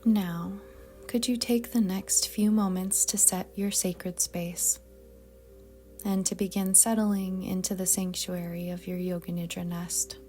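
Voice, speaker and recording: Woman, meditation guide, very slow delivery, slightly low pitch, soft breathy near-whisper, calm, gentle. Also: faint music behind. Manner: calm, soothing, gentle, deliberate. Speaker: yoga nidra meditation guide.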